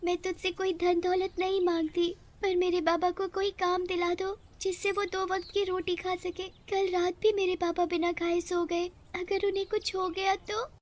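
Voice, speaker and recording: A woman's voice: very high-pitched, level tone, moderate pace, few pauses, voice pushed up. A woman voicing a poor, devoted young daughter who pleads tearfully in a story. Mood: tearful pleading. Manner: pleading, sobbing.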